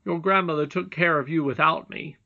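A woman's voice: deep voice, a little fast, creaky, bright, forceful tone, highs cut off, pitched above the performer's own voice, full character voice. A woman voicing a brave, humble young miner boy in a storybook, frank, earnest and emphatic.

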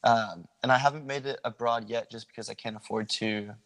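A young man from the Philadelphia area talking informally as a guest, relaxed, with many ums. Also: medium pitch, fairly quick, rough recording. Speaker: guest sharing a meet-people project. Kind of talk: casual interview chat.

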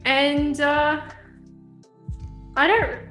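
A woman's voice: sits high, steady tone, very slow, fairly bright tone. A young woman from South Africa with an Australian accent, chatting casually as a podcast guest, giggling and rambling with lots of fillers. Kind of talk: casual chat. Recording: echoey room, faint music behind.

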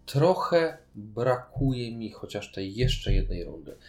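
Mellow man in an echoey room. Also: lowish voice, very animated, slow pace, faint music behind. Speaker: podcast co-host reviewing board games. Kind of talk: casual chat, board game review. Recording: roomy echo.

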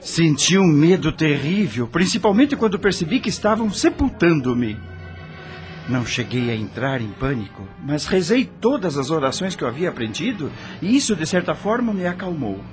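An actor playing a dead rock star in a radio drama, tormented and regretful, with a solemn, theatrical Brazilian delivery. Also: male voice, fairly high pitch, sing-song, normal speed. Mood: fearful. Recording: music under the voice.

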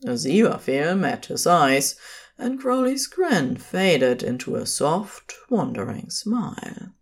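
Low, slow, expressive woman narrator. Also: breathy edge. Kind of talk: scripted fiction narration.

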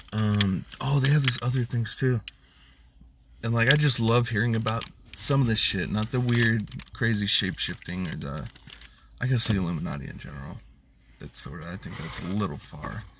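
A rambling, laid-back man with a lowish voice, swearing freely as he talks. He sounds a little far from the mic, with faint noise and crickets chirping behind him. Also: normal speed. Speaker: podcast co-host. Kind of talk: casual drunk chat.

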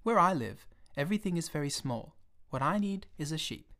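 A man's voice: fairly high pitch, animated, a little fast, pushed and bright, pitched above the performer's own voice. A man doing the little prince, a wondering boy. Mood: earnest. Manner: earnest, wondering questions. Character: small curious boy prince from a tiny planet.

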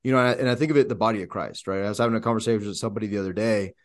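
A man's voice: on the low side, very fast. A man co-hosting a podcast, speaking in a reflective, earnest way about faith. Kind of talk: casual podcast conversation.

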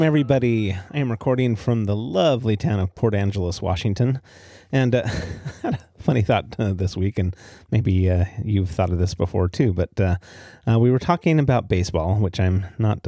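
Mellow, low-voiced American man hosting a podcast. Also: no room sound, studio quality. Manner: easygoing, chuckles at own remarks. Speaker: podcast host. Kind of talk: casual solo storytelling podcast.

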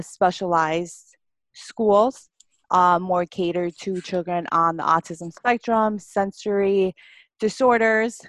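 Low-voiced Ecuadorian-born woman guest, dry sound. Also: dry recording. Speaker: speech-language pathologist guest. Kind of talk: casual interview answers.

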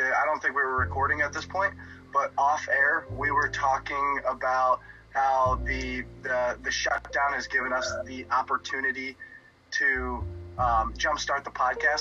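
A man chatting casually on a podcast, rambling through thoughts with frequent restarts and filler words. His voice is mid-pitched and sits on a slightly dull, lo-fi video-call line. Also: flat delivery, moderate pace, bright, forceful tone, faint music behind. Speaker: podcast co-host.

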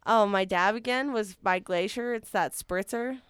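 Very clear-voiced chatty woman. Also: medium pitch, dry recording, clean studio sound. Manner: chatty, enthusiastic, quick laughs. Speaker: radio guest. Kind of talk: casual radio conversation.

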